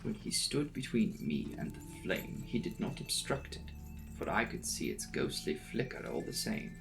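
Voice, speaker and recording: A male narrator performs a gothic novel with theatrical suspense. His voice swings widely in pitch and often drops into a gravelly creak. Music plays behind him. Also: very animated, moderate pace, rough recording. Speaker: audiobook narrator. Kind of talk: dramatic reading of a classic gothic novel.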